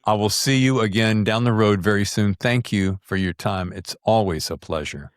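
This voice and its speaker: A man's voice: lowish voice, moderate pace, smooth, no room sound, clean studio sound. A man hosting a podcast, talking in a chatty, informal way as he interviews a guest about books. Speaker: podcast host. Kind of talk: casual conversational book interview.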